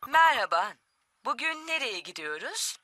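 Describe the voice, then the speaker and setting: A woman playing a polite talking camper van that gives measured navigation announcements in a children's cartoon. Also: sits high, very animated, very noisy. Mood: helpful.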